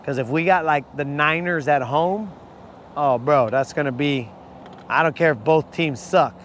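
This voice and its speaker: A hoarse man chatting loosely to his viewers from a boat on a lake, his voice sitting a bit high for a man. His talk is slangy and easygoing, and he keeps calling people bro. Faint outdoor noise runs under him. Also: measured pace, a bit bright. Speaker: fishing channel host. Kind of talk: live-stream chat while fishing.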